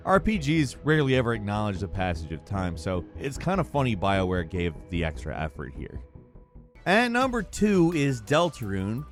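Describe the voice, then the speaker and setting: A man hosting a video countdown, reading scripted narration about video games in an informal, conversational way. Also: on the low side.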